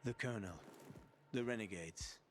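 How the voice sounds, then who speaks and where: A man's voice: fairly low pitch, moderate pace, a little hiss or hum, natural voice. An actor voicing a roguish, boastful man with a wry, casual manner that includes swearing, in video game dialogue. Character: roguish older male schemer, boastful. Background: music.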